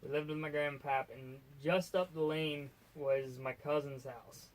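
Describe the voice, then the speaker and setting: Slightly high-voiced young American man. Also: steady tone, measured pace, rough recording. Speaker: co-host. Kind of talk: casual chat. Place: basement room.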